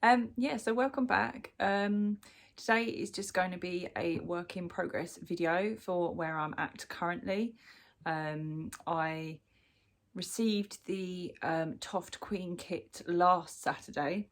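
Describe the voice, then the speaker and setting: A woman's voice: on the low side, takes it slowly. A woman from the UK chatting casually through a crochet work-in-progress update, sounding bunged up from a cold, with lots of ums and restarts. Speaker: crochet vlogger. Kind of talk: casual show-and-tell chat.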